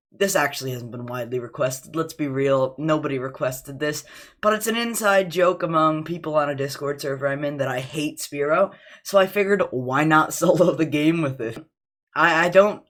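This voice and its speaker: A man's voice: a little high, fairly bright tone. A young man with a slight cough giving casual, joking commentary on a game challenge as a gaming channel host. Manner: casual, joking, self-deprecating asides. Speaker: gaming YouTuber.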